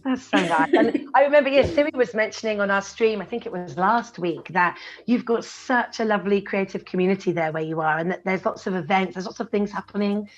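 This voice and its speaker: A woman's voice: medium pitch, brisk, hardly any pauses, highs cut off, soft background music. A woman hosting a live stream, upbeat and encouraging as she asks her guest questions. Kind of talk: casual live-stream interview.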